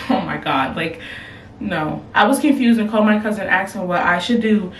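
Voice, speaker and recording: A woman's voice: mid-range voice, normal speed, distant mic, slight background noise. Casting: young woman, frank and slangy advice vlogger, a bit off-mic, roomy room tone. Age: young adult. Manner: blunt, slangy, animated reactions. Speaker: advice vlogger reading submissions. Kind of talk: casual advice chat. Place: room at home. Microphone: camera, later phone.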